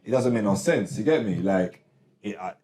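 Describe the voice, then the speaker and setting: A man's voice: on the low side, animated, moderate pace, mellow. A man, a rapper guest chatting casually in slang and laughing often. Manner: laid-back slang, frequent "you get me", laughs often. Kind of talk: casual interview chat. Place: recording studio. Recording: roomy studio sound.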